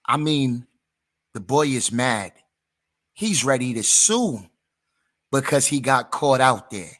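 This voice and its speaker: Male host, slow deliberate commentary, bright tone, emphatic. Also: mid-range voice, animated. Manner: slow, emphatic, drawn-out delivery. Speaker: channel host.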